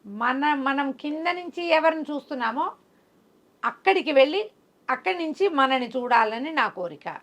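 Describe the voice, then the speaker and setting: A woman's voice: sits high, expressive, normal speed, fairly bright tone, pitched above the performer's own voice. A woman storyteller voicing a determined young prince from a folk tale, stubborn and pleading in manner. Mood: longing, insistent. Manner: insistent, pleading.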